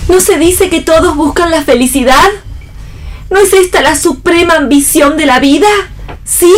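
A woman's voice: high voice, normal speed, natural voice. A woman playing a defiant, idealistic rich young woman in a staged radio play reading. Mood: earnest, searching.

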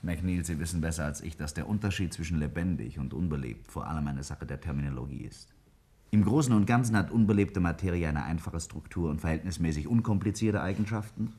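A man's voice: low-pitched, brisk, mellow, breathy edge. A man acting a self-assured scientist in a 1950s German radio play, arguing didactically and emphatically with slight irony. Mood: explaining, insistent. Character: Kingsley, self-assured male scientist arguing a bold theory.

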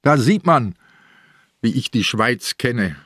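An actor playing an ailing, irritable old police commissioner in a radio crime drama, with a dry, sardonic manner. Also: male voice, medium pitch, lively intonation, slow pace, a bit bright, dry recording. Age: older.

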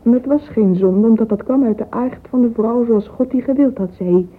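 An actress playing a vain, romantic young woman in a radio drama monologue, intimate and breathy, often whispering. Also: on the high side, brisk, lightly acted. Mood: dreamy, rapt. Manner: intimate, confiding. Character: dreamy, vain, catty romantic young woman musing privately. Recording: tinny, hissy old radio recording.

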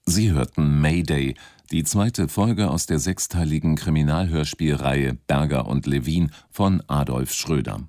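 A male radio announcer with an extremely deep voice reads scripted credits. The sound is close and dry. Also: a little slow, few pauses, dark tone, no echo.

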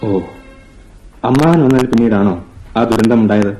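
A man playing a gentle, regretful wanderer come back to his childhood sweetheart in a Malayalam radio drama, earnest and poetic. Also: fairly low pitch, faint music behind, close to an everyday voice. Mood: concerned. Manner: earnest, poetic, measured delivery.

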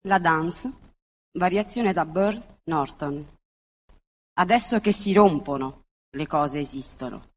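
A woman's voice: sits low, animated, slow pace, pauses often. A woman reading poetry aloud as a literary recitation. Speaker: poet reading own work. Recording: reverberant hall, faint ambient noise.

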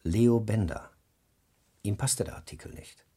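An actor playing a knowing, matter-of-fact newspaper employee in a German radio crime drama. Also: male voice, on the low side, a little slow, warm tone, breathy edge. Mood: knowing, dry.

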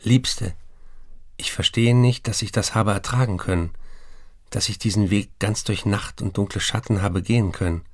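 Low, creaky tormented writer. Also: male voice, no room sound, clean studio sound. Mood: tender, amazed. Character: tormented, addicted male writer reading his letters and confessions. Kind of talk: literary radio play monologue.

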